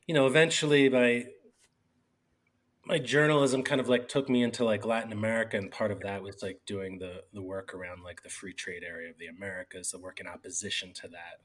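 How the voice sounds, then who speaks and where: Middle-aged man, lowish voice, rambling interview guest. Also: little pitch movement, brisk, a bit bright. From Bucks County, Pennsylvania. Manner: rambling, many fillers and restarts. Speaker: newspaper editor, guest. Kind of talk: casual interview talk.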